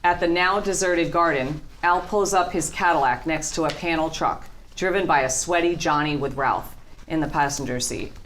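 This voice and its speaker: Low-voiced woman narrating a script. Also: normal speed, fairly bright tone, a little hiss or hum, poor audio. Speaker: narrator reading stage directions. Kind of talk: scripted narration at a table read.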